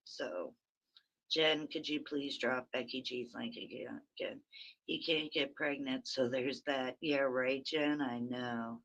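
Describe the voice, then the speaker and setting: A woman with a very raspy voice, hosting a rambling, chatty early-morning livestream from her phone and talking to viewers by name. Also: a bass voice, steady tone, slow, fairly bright tone. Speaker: livestream host.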